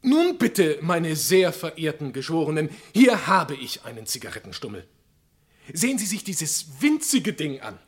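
Impassioned husky defence lawyer, sweeping pitch. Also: male voice, sing-song. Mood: pleading, indignant. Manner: rhetorical, pathos-laden, dramatic pauses. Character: impassioned defence lawyer pleading theatrically to a jury. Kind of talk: German crime radio play, courtroom oratory.

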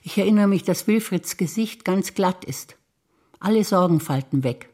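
An older woman from Bavaria, a stage actor, reciting memories in a measured, reflective way. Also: on the low side, animated, mellow. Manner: measured, reflective, list-like delivery.

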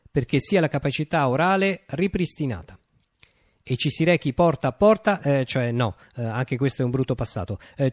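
An actor in a theatrical radio monologue, playing an eccentric self-styled ruler who declaims decrees and haltingly corrects himself. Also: male voice, on the high side, dry recording, studio quality. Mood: declamatory, decreeing.

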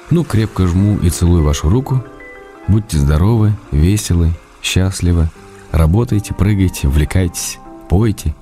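A man playing an affectionate, gently ironic writer who reads his letters aloud in a radio drama. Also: low-pitched, close to an everyday voice. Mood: tender. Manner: tender, gently ironic, unhurried. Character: affectionate, teasing male writer writing letters. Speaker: reader of letters in a radio play.

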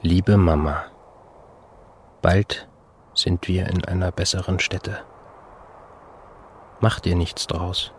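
Doomed young outlaw, subdued monotone, unhurried farewell, radio play, faint noise behind. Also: male voice, deep voice. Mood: resigned, tender. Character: resigned young bank robber saying goodbye to his mother. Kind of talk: radio drama monologue.

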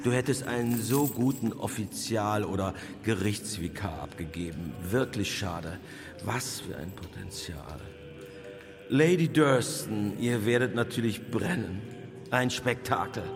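A man's voice: fairly low pitch, measured pace. A man playing a cruel, mocking bishop who holds power, with pompous, theatrical delivery in a radio drama. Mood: gloating, mocking. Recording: reverberant stone hall, music underneath.

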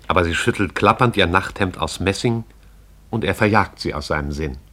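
Low-voiced male radio play narrator. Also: fairly bright tone. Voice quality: measured, poetic storytelling.

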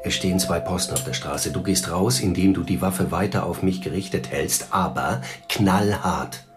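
An actor playing a calm, commanding police commissioner in a radio crime drama, speaking in a terse, dry, authoritative way. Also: male voice, low voice, normal speed, music in the background, natural voice.

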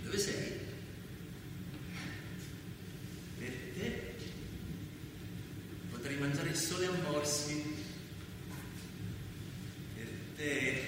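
An actor playing an anguished biblical brother in a radio play, speaking intense, lyrical lines with insistent repetition. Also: male voice, a little high. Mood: yearning, hushed. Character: anguished biblical brother, a toiling man of the land. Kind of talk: poetic theatrical radio drama. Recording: very echoey, distant, noisy hall.

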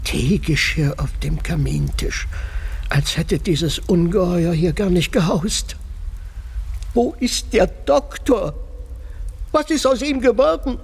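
A man's voice: high voice, slow pace, creaky, breathy edge, exaggerated voice. A man acting in a radio drama as a loyal, long-serving butler, anxious and deferential. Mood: anxious, urgent. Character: loyal, worried long-serving male butler, middle-aged or older.

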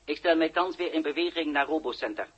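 An actor playing a pedantic robot guard in a 1960s radio play, with stiff, formal, bureaucratic diction. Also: male voice, a little high, quick pace, a bit bright, lo-fi sound, natural voice. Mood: officious, unemotional. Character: pedantic officious robot guard escorting human prisoners. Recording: very noisy old radio recording.

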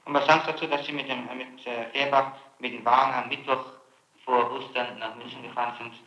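A man's voice: mid-range voice, fairly even intonation, brisk, pushed and bright, highs cut off. A man questioning a suspect as prosecutor, pressing and accusatory, repeating his points on an old interrogation tape. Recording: echoey, faintly noisy archival interrogation tape.

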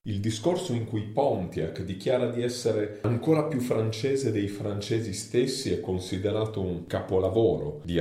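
A man's voice: deep voice, warm tone. A man giving explanatory historical narration. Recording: roomy, echoing sound.

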